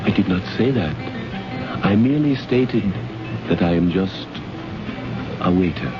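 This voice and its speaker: Stiff polite waiter in old radio drama, lowish voice, dull recording with music behind. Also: male voice, expressive. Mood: guarded, earnest. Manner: formal, servile, measured. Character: reserved, deferential male waiter, secretly smitten.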